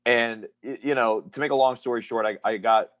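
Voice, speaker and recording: A man's voice: medium pitch, fairly even intonation, fairly quick, fairly bright tone, no echo. A man from Pennsylvania, a professional wrestler, talking as a guest in a casual interview and telling rambling stories in an easygoing way. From Pennsylvania, USA.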